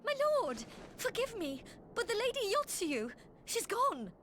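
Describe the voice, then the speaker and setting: A woman's voice: very high voice, big pitch swings, measured pace, a bit bright, slight background noise, full character voice. An actress playing an anxious, apologetic female servant in a game. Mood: alarmed. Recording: game dialogue over faint music.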